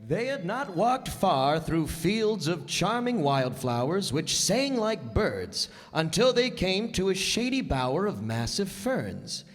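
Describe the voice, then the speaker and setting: A man's voice: sits high, sing-song, slow, hardly any pauses, soft background music, full character voice. A man playing the narrator of a whimsical tale in a radio play, reading scripted narration in an echoey hall. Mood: measured, whimsical. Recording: live stage performance in echoey hall.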